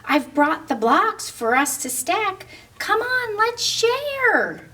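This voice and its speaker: A woman's voice: very high voice, very animated, slow, bright, forceful tone, distant mic, voice pushed up, exaggerated voice. A woman voicing a greedy young male dinosaur in a storybook, speaking in sing-song rhyming lines. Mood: pleading.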